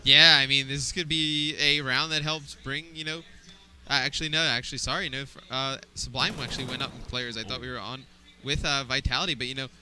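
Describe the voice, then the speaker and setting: A man's voice: medium pitch, fairly even intonation, brisk, background noise. A young adult man giving analytical esports color commentary, thinking aloud with fillers. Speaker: esports caster.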